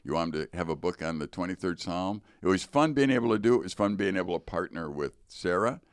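A man's voice: sits low, expressive, fast talker, smooth, no room sound, clean studio sound. A middle-aged American man hosting a podcast in a conversational, rambling, explanatory way. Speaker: podcast host. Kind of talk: conversational interviewing. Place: podcast studio.

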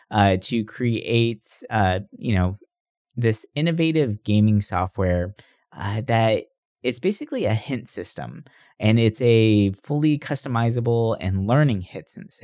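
A man with a fairly low voice delivers casual news commentary as a podcast host. He speaks conversationally, often checking in with rhetorical tag questions. The recording is dry and close, with little room sound. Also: a little mellow, no room sound.

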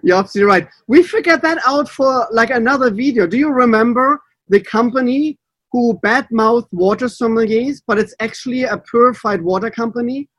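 A man's voice: extremely high pitch, a bit bright, no echo, low-quality recording. Upbeat German-accented male podcast host. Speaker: podcast host, water sommelier. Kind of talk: casual podcast chat.

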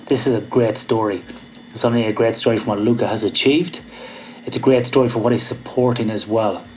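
A man's voice: fairly low pitch, steady tone, a little fast, slight background noise, rough recording. A man with an Irish accent hosting a podcast, upbeat and encouraging as he introduces and questions his guest. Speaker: podcast host. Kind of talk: podcast interview. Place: home in Australia.

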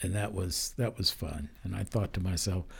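Hesitant older man, chuckling warmly. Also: deep voice, gravelly, breathy edge. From Denver, Colorado. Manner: hesitant, many pauses and fillers, chuckling. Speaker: judge, interview guest. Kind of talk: casual interview chat.

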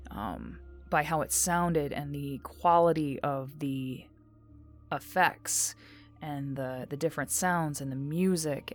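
Low-voiced woman, halting and reflective. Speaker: voice actor. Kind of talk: casual personal testimonial.

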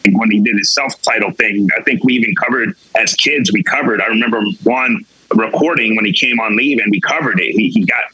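A man's voice: on the low side, brisk, pushed and bright, slightly rough, no room sound, rough recording. A man from Puerto Rico, a metal band vocalist answering interview questions eagerly and reminiscing. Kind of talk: casual interview.

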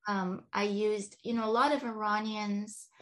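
A woman author, a guest on a podcast, chatting in a relaxed way about her life and telling stories. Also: mid-range voice, a little slow. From Iran. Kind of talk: casual interview chat.

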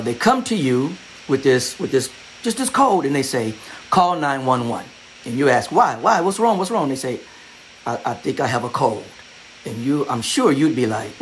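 A man's voice: mid-range voice, slight background noise, poor audio. A man preaching a sermon as pastor, in a repetitive, conversational style that builds its emphasis.

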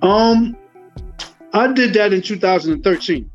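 A man's voice: high voice, measured pace. A man from Liberia, a musician talking casually in an interview, laid-back and full of slang and fillers. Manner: laid-back, slangy, many fillers and "you know what I'm saying". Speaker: guest musician. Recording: dull lo-fi sound with faint music.

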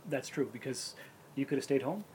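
A man in his forties hosting a relaxed podcast with friends. He talks at an even, middling pitch and laughs easily as he tells stories, over a faint hum of ambience behind him. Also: fairly quick. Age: 40s. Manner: laughs often while telling stories. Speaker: podcast host. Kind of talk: casual chat.

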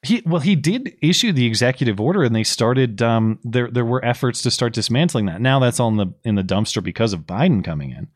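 A slightly hoarse man co-hosting a podcast, recapping political news in a casual, explanatory way with plenty of fillers. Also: medium pitch, animated, brisk, hardly any pauses. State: slightly hoarse, losing his voice. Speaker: podcast co-host.

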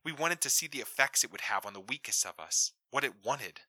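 A man voicing a female mission deputy speaking over a comm line, quick, breathless and sibilant, soft and close to a whisper. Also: medium pitch, animated, breathy edge, no echo, pitched above the performer's own voice, heavily acted. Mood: urgent hushed. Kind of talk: audiobook character voice.

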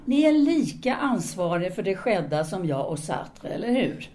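Actress as mellow, cutting woman intellectual. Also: medium pitch, very animated, a little hiss or hum, lightly acted. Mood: scornful. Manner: cool, cutting, formal. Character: hard, scornful, self-possessed woman intellectual, a survivor. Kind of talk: audio drama dialogue.

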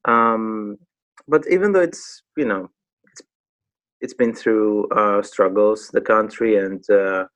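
A man's voice: on the low side, slow, fairly bright tone. Hesitant Greek-accented man chatting casually. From Greece. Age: 30s. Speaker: guest, graffiti artist. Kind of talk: casual interview chat.

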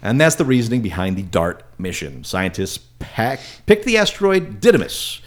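A man's voice: fairly low pitch, big pitch swings, normal speed. Male podcast host reading science news. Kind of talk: casual chat and read news segment.

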